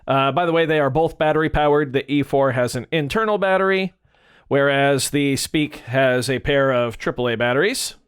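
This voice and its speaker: A man's voice: medium pitch, moderate pace, clear, resonant tone. A man presenting music gear in a casual, conversational and explanatory way. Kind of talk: casual product comparison talk.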